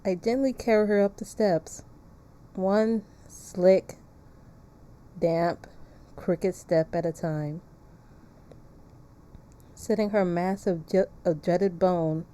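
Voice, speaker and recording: Woman reading as a slow, morbidly devoted gothic painter. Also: fairly low pitch, dark tone. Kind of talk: dramatic visual novel reading.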